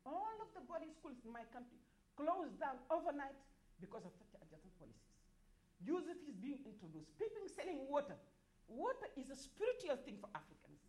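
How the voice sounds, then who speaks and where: Passionate Senegalese woman, halting delivery. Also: high voice, huge pitch swings, darkish tone, poor audio. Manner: halting, many restarts and repeated words, rising in force on key points. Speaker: activist speaker at a development forum. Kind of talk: impassioned panel speech.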